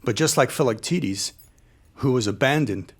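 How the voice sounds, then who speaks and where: A man, a military veteran, giving earnest public remarks in measured, deliberate phrases with emphatic repetition. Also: mid-range voice, animated. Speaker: military veteran and former troop leader. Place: live event space at a panel discussion.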